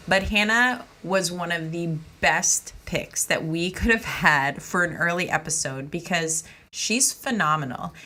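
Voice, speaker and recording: A woman's voice: lowish voice, bright, forceful tone. A woman hosting a podcast, chatting and introducing in an informal, effusive way. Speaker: podcast host and interviewer. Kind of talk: casual podcast intro and chat.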